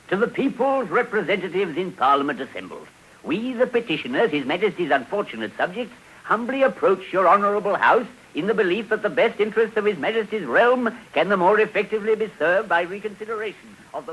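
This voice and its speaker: A man's voice: sits high, animated, brisk, a bit bright, slight background noise, rough recording. A man playing an obsequious prisoner captain who declaims pompously, in a 1950s radio drama. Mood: declamatory. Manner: deferential, declamatory. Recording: old radio broadcast.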